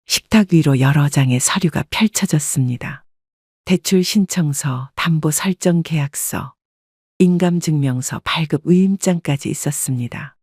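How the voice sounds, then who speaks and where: Woman narrator, calm measured storytelling, breathy voice, dry close recording. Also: sits low, no room sound. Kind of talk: first-person story narration.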